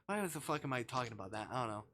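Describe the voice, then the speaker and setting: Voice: mid-range voice, very animated, quick pace, fairly bright tone. A streamer chatting casually and reading a visual novel aloud, rambling, jokey and easily sidetracked.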